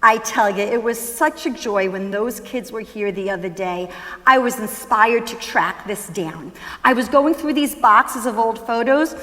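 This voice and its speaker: A woman's voice: a little high, lively intonation, bright, forceful tone. A woman playing an affectionate, wry ageing mother in a musical theatre staged reading. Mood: tender, reflective. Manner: wry, fond, unhurried. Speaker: stage actress. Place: school auditorium stage. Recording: echoey hall with long reverb.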